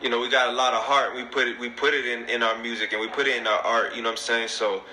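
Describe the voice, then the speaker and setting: A man's voice: mid-range voice, little pitch movement, fast, pushed and bright, roomy sound, a little hiss or hum, rough recording. A man hosting a casual podcast interview, easygoing and supportive, leaning on filler phrases. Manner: easygoing, repeats "you know what I'm saying". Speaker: podcast host.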